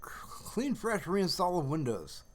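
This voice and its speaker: A man talks casually as a livestream host. His voice sits a little higher than average for a man. He rambles in a halting way, starting thoughts, restarting them and letting some trail off. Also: big pitch swings.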